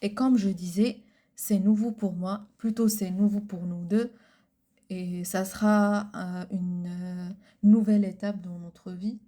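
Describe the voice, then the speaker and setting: Co-host, woman, Arabic first language: slow, hesitant delivery, mellow tone. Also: medium pitch, steady tone. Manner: hesitant, searching for words, many fillers. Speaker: podcast co-host. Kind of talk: casual chat.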